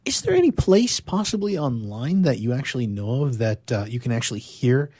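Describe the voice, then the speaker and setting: Male, mid-pitched, very animated intonation, casual radio chat, agreeable. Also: fairly quick, no room sound, clean studio sound.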